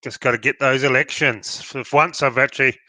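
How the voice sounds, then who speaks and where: A man with an Australian accent hosts a casual live stream, his voice very bright and forward. His nose is blocked up from hay fever and he sounds worn out. The sound is dry and close, with no room at all. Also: mid-range voice, steady tone, a little fast, no echo. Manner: chatty and welcoming, says sorry for sounding tired.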